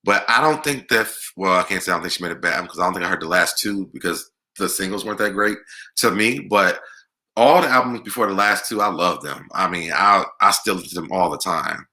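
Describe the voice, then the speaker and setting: An American man with a deep voice hosting a casual music Q&A, talking conversationally and repeating words for emphasis. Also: a little fast, sharp tone. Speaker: online show host. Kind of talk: casual live Q&A chat about music.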